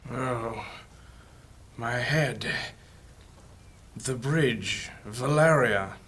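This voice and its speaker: An elderly fantasy mage acted by a man, courteous and formal in an audio drama. His voice is bright and forceful at an ordinary male pitch. He argues reasonably, as the peacemaker of a party. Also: drawn-out words, breathy edge, full character voice. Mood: dazed.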